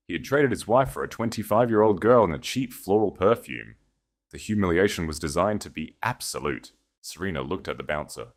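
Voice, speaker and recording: Deep-voiced male story narrator. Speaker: audiobook narrator. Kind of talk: dramatic scripted story narration.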